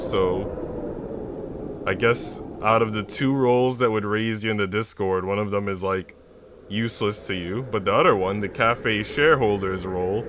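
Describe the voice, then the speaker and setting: A man's voice: fairly low pitch, background noise. A man hosting a game livestream, moving between casual, jokey rambling and reading story narration aloud. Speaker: game streamer, host.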